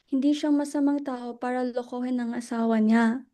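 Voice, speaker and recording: A woman acting a guarded, emotional widow in a dramatic reading, halting and defensive as she speaks. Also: fairly high pitch, fairly even intonation, warm tone, close to an everyday voice. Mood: grieving, confessional. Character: Luella, guarded, emotional widow defending her dead husband.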